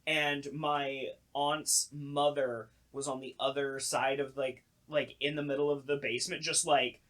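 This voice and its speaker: A man's voice: medium pitch, little pitch movement, a little slow, fairly bright tone. A young man with a mid-range voice talks as a podcast co-host. He tells stories in loose, conversational language. He slips into acted-out reactions as he goes. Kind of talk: casual storytelling chat.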